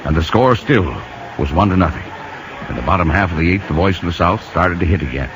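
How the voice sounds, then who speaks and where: A man's voice: low voice, animated, fast talker. An actor playing a folksy man who narrates a baseball story dramatically in a 1950s radio drama. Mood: reflective. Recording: noisy, muffled old radio recording.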